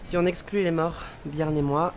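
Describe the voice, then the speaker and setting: A woman's voice: deep voice, fairly even intonation, normal speed, a little mellow, slight background noise, close to an everyday voice. A woman playing a fragile, guarded investigator in an audio drama, speaking tersely and informally. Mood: terse, composed. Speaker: voice actor.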